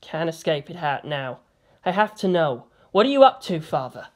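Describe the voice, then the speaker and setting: A woman's voice: deep voice, sing-song, normal speed. A woman voicing an earnest, awkward teenage boy in an audio story, with a hesitant delivery. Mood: resigned.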